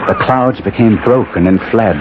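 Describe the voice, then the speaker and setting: A man's voice: fairly low pitch, moderate pace, over a noisy crowd, natural voice. An actor playing an anguished, ruined young nobleman, speaking with earnest melodrama and formal phrasing in a radio drama. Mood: brooding. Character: anguished young nobleman, ruined, proud wastrel recounting his fall. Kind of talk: 1970s radio drama acting and narration. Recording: muffled, noisy old radio broadcast recording.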